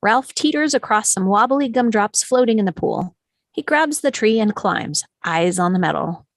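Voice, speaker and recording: A woman reading scripted stage directions as narrator at an animated film table read. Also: medium pitch, moderate pace, dry recording.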